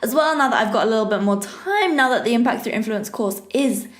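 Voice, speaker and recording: An upbeat woman hosts a podcast, her voice pitched a little high and lively. She talks in an energetic promo style, and the room around her echoes. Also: fairly quick. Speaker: podcast host. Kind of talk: podcast intro and interview.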